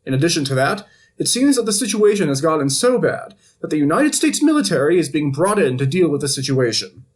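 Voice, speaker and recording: A man's voice: a little high, big pitch swings, fairly quick. A man playing a flippant TV news anchor in an audio drama, reading reports with wry, editorializing asides. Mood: grave, newsy. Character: flippant male TV evening news anchor covering a zombie outbreak.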